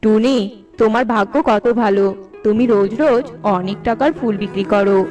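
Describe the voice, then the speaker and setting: A woman's voice: mid-range voice, normal speed, a little mellow, close to an everyday voice. A woman voicing a self-pitying, downcast crow in a children's cartoon. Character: self-pitying crow, struggling artist and fruit seller. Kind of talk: children's cartoon character dubbing. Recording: music behind the voice.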